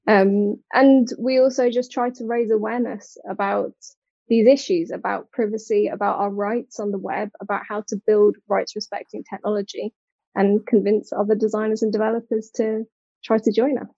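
Interview guest: British woman, mellow tone, articulate and explanatory, conversational delivery, slightly dull dry recording. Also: medium pitch, flat delivery, normal speed, gravelly, no echo. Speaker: interview guest, technology designer and advocate.

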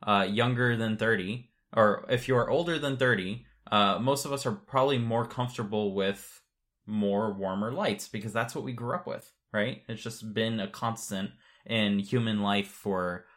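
A man with a fairly low voice talks as a podcast co-host. He explains in a matter-of-fact, informal way, as in a relaxed chat with a colleague. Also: moderate pace.

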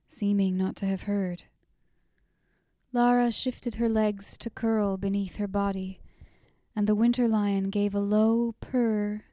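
A woman reading a fantasy novel aloud in a soft, breathy whisper. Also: mid-range voice, dry recording, studio quality. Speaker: audiobook narrator. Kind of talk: whispered audiobook narration.

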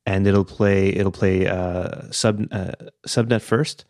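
A man's voice: low-pitched, steady tone, takes it slowly, darkish tone, dry recording, studio quality. A man co-hosting a tech podcast, laughing easily and restarting his sentences. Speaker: podcast co-host. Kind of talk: casual tech chat.

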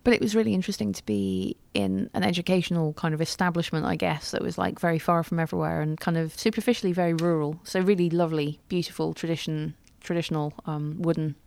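A woman's voice: deep voice, fast talker, creaky, few pauses, a little mellow, no room sound. A woman co-hosting a podcast, chatting casually in a wry, self-deprecating way. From United Kingdom. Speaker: podcast co-host. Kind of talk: casual chat.

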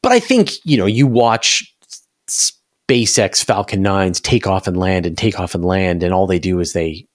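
A man's voice: sits low, lively intonation, measured pace, no echo, clean studio sound. A man talking conversationally as an interview guest, explaining things at length and restarting phrases as he reasons aloud. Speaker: interview guest, space news commentator.

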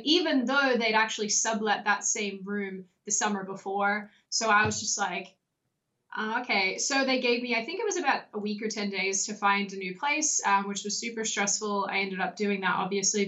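A woman's voice: medium pitch, fairly quick, sharp tone. A young woman with an Australian accent, a guest telling stories in a casual interview, with many likes and ums. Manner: chatty storyteller, frequent likes and ums. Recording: slightly dull lo-fi audio.

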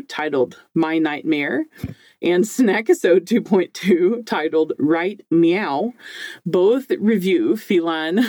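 A woman with a deep, low-range voice co-hosting a podcast in a casual, chatty, jokey way. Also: dark tone, dry recording. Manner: informal, jokey, mildly sweary. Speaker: podcast co-host.

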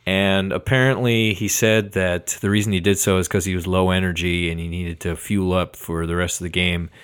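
A man's voice: deep voice, flat delivery, normal speed, clear, resonant tone. A man co-hosting a sports podcast, chatting casually with dry, laid-back humour.

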